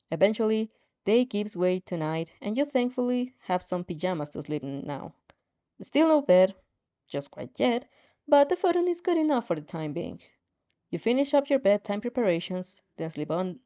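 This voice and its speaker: A woman's voice: medium pitch, warm tone, highs cut off. A woman reading a story aloud, often stumbling and repeating words as she narrates. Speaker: fan fiction narrator.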